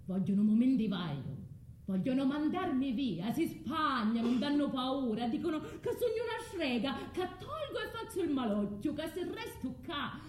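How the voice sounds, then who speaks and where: Proud, bitter southern Italian exiled wife. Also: female voice, on the high side, darkish tone, echoey room, slight background noise. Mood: agitated, accusing. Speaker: actress. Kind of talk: theatrical radio drama monologue.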